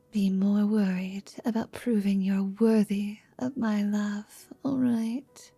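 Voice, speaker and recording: A woman playing a confident, teasing half-vampire wizard in an intimate ASMR roleplay, speaking in a soft, languid whisper. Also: medium pitch, breathy edge, dry recording. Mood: seductive, challenging.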